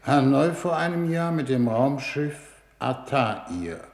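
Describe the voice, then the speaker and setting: A shrewd government minister in charge of a space mission, in a vintage 1950s radio play. His delivery is formal and measured, with a medium-pitched voice. He carries authority and questions people with grave care. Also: expressive, a little mellow. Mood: suspicious, probing. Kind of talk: 1950s German radio drama acting.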